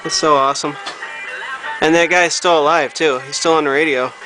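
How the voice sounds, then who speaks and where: A man with a fairly high voice talks through a hands-on repair in a casual way. He thinks aloud as he works, speaking informally into his own handheld camera, with music clearly audible behind him. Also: steady tone, normal speed, poor audio. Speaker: hobbyist repairing vintage audio gear. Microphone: handheld camera he operates himself.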